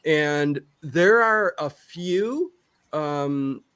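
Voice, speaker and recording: A man's voice: a little high, animated, extremely slow, fairly bright tone, clear, resonant tone. A middle-aged man chatting casually as an interview guest, talking emphatically and repeating words to stress his points. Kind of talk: casual chat.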